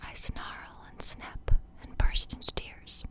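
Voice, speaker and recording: A woman's voice: slow, close to an everyday voice. Woman reading as a playful whispering young woman. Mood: breezy, teasing.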